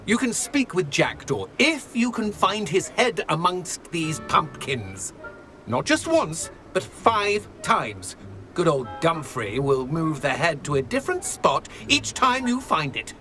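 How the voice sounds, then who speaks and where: Swooping high-pitched theatrical ghost. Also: male voice, very animated, bright, forceful tone, faint music behind, heavily acted. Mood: gleefully bossy. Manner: grandiose, teasing, drawn-out delivery. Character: theatrical, gleeful, bossy male ghost game-master. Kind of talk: video game character acting.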